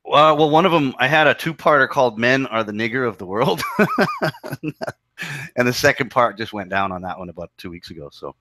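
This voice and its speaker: A man hosting a casual online group chat, blunt and quick with short interjections. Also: mid-range voice, sing-song, sharp tone, dry recording. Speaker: host.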